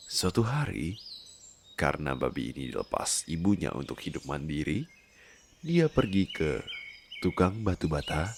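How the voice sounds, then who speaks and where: A man's voice: a bass voice, creaky, noisy background. A man in an intimate ASMR roleplay, talking and telling stories in a playful, coaxing, colloquial way. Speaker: voice roleplay performer as doting husband.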